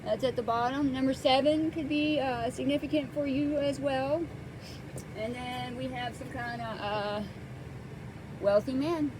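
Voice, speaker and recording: A woman hosting a casual tarot card reading, soft-spoken and hesitant, with many pauses and fillers, close to the microphone. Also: high-pitched, steady tone, background noise.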